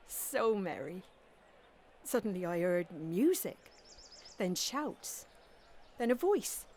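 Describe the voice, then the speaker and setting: An actress playing an anguished, grief-crazed heroine in a Victorian melodrama, haunted and theatrical, swinging between hushed and wild delivery. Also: female voice, on the high side, a little hiss or hum. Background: music.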